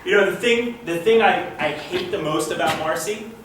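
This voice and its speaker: A man's voice: high voice, moderate pace, lightly acted. An improv actor playing a nervous, fretful man at his own divorce party, rambling and stammering through restarts. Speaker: improv performer. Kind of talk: live improv comedy scene. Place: theater stage with live audience. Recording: roomy live stage recording from far off, audience noise.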